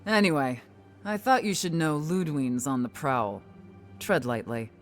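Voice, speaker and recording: An actor playing a brisk, cautious townsperson in a fantasy video game, giving friendly warnings in a chatty, forthright way. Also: medium pitch, soft background music, lightly acted. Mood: cautionary. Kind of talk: naturalistic game voice acting.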